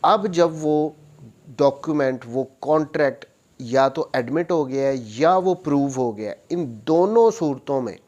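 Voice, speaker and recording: A man's voice: a little high, lively intonation, unhurried, darkish tone, lo-fi sound. A man with a Pakistani accent giving an explanatory lecture, repeating and rephrasing his points and slipping English technical terms into his speech. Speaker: law lecturer, advocate. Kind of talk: explanatory lecture with worked examples.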